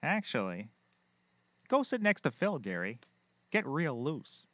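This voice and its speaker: A man's voice: medium pitch, big pitch swings, measured pace, a bit bright, no echo. A man playing a folksy, self-pleased, dubious private eye in a scripted audio comedy, jaunty and exaggerated. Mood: breezy, dismissive. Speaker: lead character.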